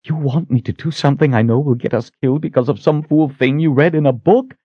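A man's voice: on the high side, quick pace, husky, dry recording, highs cut off, voice pushed up. A man voicing a stern, frightened and devout religious sister in an earnest, scolding manner, as in an audiobook. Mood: scolding, insistent.

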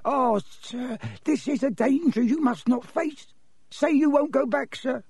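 A man's voice: very high-pitched, unhurried, darkish tone, slightly rough, dry recording, studio quality, voice pushed up, exaggerated voice. A man voicing a small, anxious and servile but stubborn male elf, pleading as in an audiobook. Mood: urgent, pleading. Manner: pleading, refers to self in third person. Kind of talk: audiobook character voice.

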